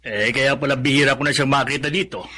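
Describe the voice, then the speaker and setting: A man's voice: mid-range voice, fairly even intonation, fast talker, sharp tone, lightly acted. An actor playing a plain-spoken middle-aged shopkeeper father in a Filipino radio drama, questioning and chiding. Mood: curious, chiding. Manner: scolding, questioning.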